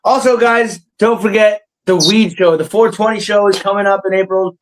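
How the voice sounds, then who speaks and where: High-voiced laughing man in his thirties. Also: steady tone, normal speed, slight background noise, rough recording. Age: 30s. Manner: loose, laughing, swearing, lots of fillers. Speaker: podcast host. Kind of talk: casual comedy podcast chat.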